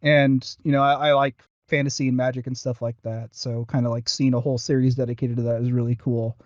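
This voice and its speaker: Man, mid-pitched, hesitant informal podcast chat, very dry room, lo-fi dull sound. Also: level tone, brisk, no room sound. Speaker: podcast co-host. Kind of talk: casual chat reviewing audio dramas.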